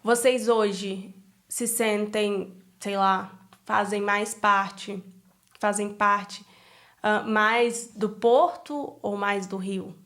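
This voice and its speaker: A woman's voice: mid-range voice, steady tone, very slow, a bit bright. A woman, a podcast host asking questions in a hesitant way, searching for words. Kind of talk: interview questions.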